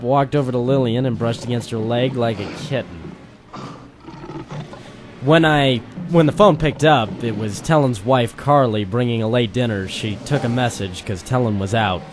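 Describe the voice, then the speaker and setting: A man's voice: medium pitch, moderate pace, natural voice. A man playing a weary, wry private detective, narrating and talking tersely in a noir radio drama. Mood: wry, tense narration. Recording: music playing behind the voice.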